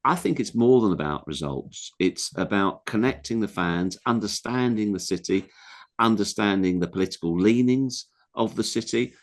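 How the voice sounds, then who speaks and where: A man talking as a guest on a football interview show, earnest and reflective, stressing his points. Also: lowish voice. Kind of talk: casual interview chat.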